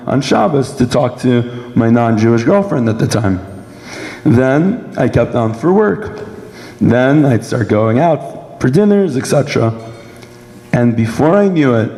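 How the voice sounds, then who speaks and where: A young man from Brooklyn tells his story in a measured, rehearsed way, speaking through a sore throat. His voice is bright and mid-pitched, ringing with long reverb in a big hall. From Brooklyn, New York, United States. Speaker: guest speaker giving a personal testimony. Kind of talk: prepared speech at a dinner event. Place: large indoor event hall.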